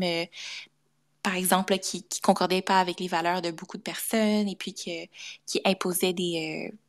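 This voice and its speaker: Young Québécoise medical student as guest; low, level delivery, hesitant and thoughtful. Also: female voice. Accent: Québécois French. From Canada. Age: young adult. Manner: thoughtful, many fillers and restarts. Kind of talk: casual interview talk.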